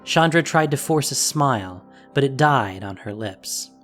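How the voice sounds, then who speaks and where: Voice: medium pitch, animated, unhurried, a bit bright, faint music behind. A narrator reading a scripted fantasy story in a dramatic audio drama style.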